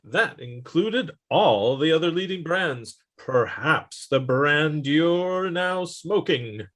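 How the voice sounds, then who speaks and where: A man's voice: a little high, lively intonation, slow pace, a bit bright. A man reading a 1950s-style radio commercial with emphatic sales delivery. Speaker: announcer.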